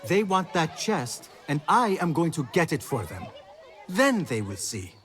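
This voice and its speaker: An actor playing a cocky, ambitious young street thief in a game cutscene, eager, boastful and self-assured. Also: male voice, a little high, sing-song, unhurried, a bit bright, some chatter behind. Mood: determined. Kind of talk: cinematic game cutscene acting.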